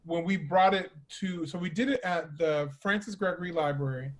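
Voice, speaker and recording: High-voiced man hosting a casual chat. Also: normal speed. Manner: informal, emphatic, teasing. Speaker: host. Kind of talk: casual chat, addressing listeners.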